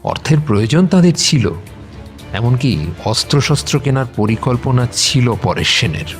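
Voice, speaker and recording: A man tells a scripted adventure story in a medium-pitched voice. Faint music plays behind his narration. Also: sing-song, normal speed.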